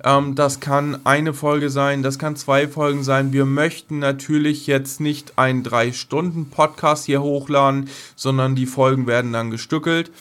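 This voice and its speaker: Guy co-hosting a chill podcast, average pitch, loose colloquial talk with english slang mixed in. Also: steady tone, a little slow, hardly any pauses, a bit bright, clear, resonant tone. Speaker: podcast co-host. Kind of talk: casual unscripted podcast chat.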